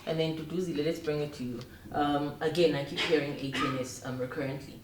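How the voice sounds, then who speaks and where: A woman's voice: deep voice, fairly even intonation, fast. A woman hosting an interview in a conversational style, recorded in a roomy space away from the microphone. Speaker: interview host. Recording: roomy, distant mic, faint ambient noise.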